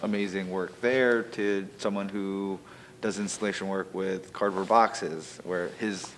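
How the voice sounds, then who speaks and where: Deep-voiced man, arts organizer in interview, loose rambling delivery, faint background hiss. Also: steady tone, unhurried, fairly bright tone. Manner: rambling, heavy use of fillers like you know and um. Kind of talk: interview answer.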